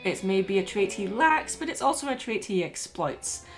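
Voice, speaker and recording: A woman narrates a scripted video essay in a mid-pitched voice, with faint music underneath. She sounds like a commentator laying out an argument. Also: very animated, normal speed.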